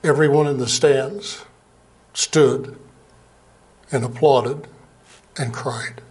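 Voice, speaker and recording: An older man, an Air Force veteran, giving a plain, halting slide presentation from a lectern in a small museum meeting room, heard from a camera across the room. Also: mid-range voice, steady tone, darkish tone. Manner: plain, unhurried, halting with fillers. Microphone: far camera, speaking at a lectern.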